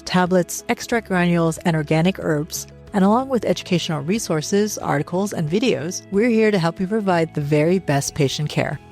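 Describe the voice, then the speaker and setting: A woman's voice: on the low side, darkish tone. A woman reading a scripted sponsor message in a polished, upbeat way. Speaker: company president. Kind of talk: scripted ad read.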